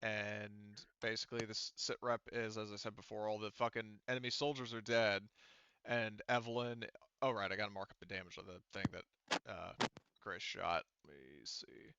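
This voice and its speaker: A man with a crisp, bright voice leads a tabletop game as game master. He explains options in a casual way and thinks out loud. The recording is close and slightly dull. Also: on the low side, steady tone, normal speed, dry recording.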